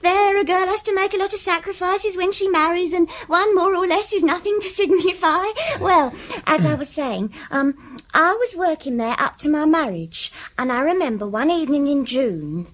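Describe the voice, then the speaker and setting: Chatty barmaid type, really high pitched, coy and gushy, radio play. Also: female voice, moderate pace, fairly bright tone. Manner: giggly, garrulous, coy. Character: gushing, coy married ex-barmaid, cheerfully chatty. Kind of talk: British radio drama acting.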